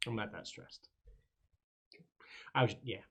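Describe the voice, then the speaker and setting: A man's voice: fairly low pitch, slow. A man playing a tabletop game, casually asking about rules and describing his moves.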